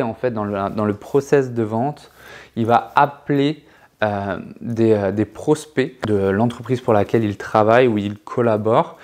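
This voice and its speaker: A man in his thirties giving a casual explainer to camera in a living room, talking with many fillers and restarts and lively hand gestures, on a lapel mic. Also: medium pitch. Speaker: sales trainer, channel co-host. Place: living room set with sofa and shelves.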